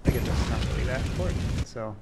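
A man giving a casual, halting screen-recorded demo as a game developer, thinking aloud as he goes. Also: mid-range voice, heavy background noise. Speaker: game developer showing a project.

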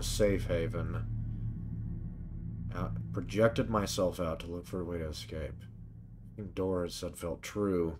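A man streaming a game with casual commentary, muttering to himself in short fragments. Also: low voice. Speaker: gaming streamer. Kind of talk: casual let's-play commentary. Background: faint game music.

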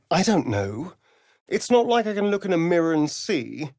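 A man with a British accent and a breathy voice playing a vain, sardonic, flirtatious vampire spawn in a video game, with an arch, mocking drawl. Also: a little high, big pitch swings, normal speed, darkish tone, dry recording, studio quality. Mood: sardonic, teasing. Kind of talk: theatrical video game character acting.

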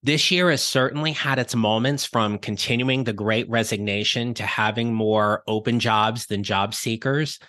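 Raspy-voiced man hosting a podcast. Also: on the low side, fairly even intonation, normal speed, few pauses, bright, forceful tone, dry recording, clean studio sound. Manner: upbeat, encouraging, conversational. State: raspy voice after illness. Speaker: podcast host. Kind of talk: solo career-advice podcast.

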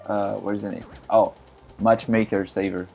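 A man from Brazil whose first language is Portuguese, chatting casually in halting English with many fillers and frequent laughs while streaming a game. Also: on the low side, little pitch movement, gravelly, darkish tone, soft background music. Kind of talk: casual live-stream commentary.

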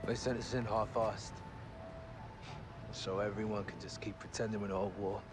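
A man's voice: fairly low pitch, little pitch movement, normal speed, over music, natural voice. A young man with a British accent playing a frustrated sergeant, blunt and heated. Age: young adult. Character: frustrated young male police sergeant soldier. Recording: noisy outdoor street scene.

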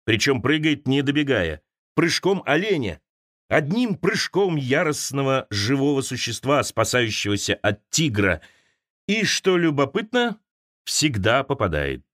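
A man reads a personal essay as an audiobook narrator. His mid-pitched voice moves widely up and down, with dramatic pauses and shifts between wry and wistful. The recording is close and dry, as in a studio. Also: sing-song, a bit bright, dry recording. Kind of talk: expressive literary essay narration.

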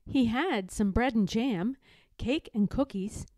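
A woman reading a children's storybook aloud as an expressive narrator. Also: a little high, takes it slowly, no room sound. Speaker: storybook reader.

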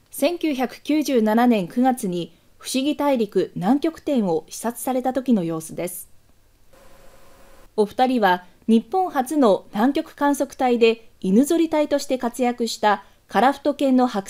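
A woman narrates a scripted news documentary. Her voice sits fairly high yet has a very mellow, dark timbre. The delivery is formal and measured, as a prepared read.

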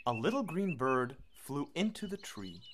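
A woman reads a rhyming children's picture book aloud. Her delivery is slow and measured, with a singsong lilt that rises and falls widely. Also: deep voice, very animated, background noise. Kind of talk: expressive storybook read-aloud.

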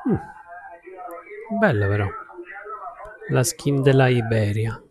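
A male game streamer talks in a mid-pitched voice. His pace is very slow and halting, as he thinks aloud and chats casually to his viewers. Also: lively intonation, darkish tone. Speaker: game livestreamer. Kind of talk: casual chat with stream viewers while gaming.

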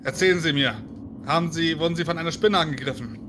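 A man's voice: on the high side, fast talker, background noise. A man speaking as a player in a tabletop roleplay on a video call, slipping into character. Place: home room on video call. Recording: music under the voice.

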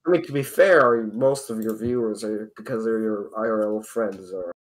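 A man's voice: lowish voice, moderate pace, poor audio. A man from Canada, chatting casually and often trailing off mid-sentence. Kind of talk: casual chat.

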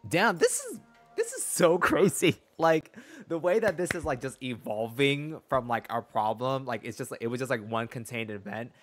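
A young man streaming a game with a medium-pitched voice. He reacts in a sassy, slangy, conversational way, with faint game music behind him. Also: big pitch swings, moderate pace, a bit bright. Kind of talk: casual livestream reaction commentary.

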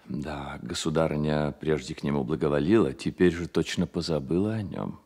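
An actor playing an earnest, loyal young baron poet, speaking in a measured Soviet radio drama style. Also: male voice, rumbling low, a little mellow, slightly rough, heavily acted. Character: earnest, loyal baron poet Delvig, man in his twenties.

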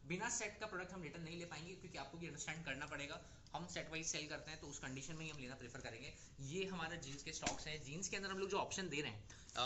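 Young male trader, brisk reassuring sales patter, noisy stockroom. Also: sits high, bright, forceful tone. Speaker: wholesale seller presenting stock. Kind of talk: product showcase pitch to retailers. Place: clothing warehouse or shop.

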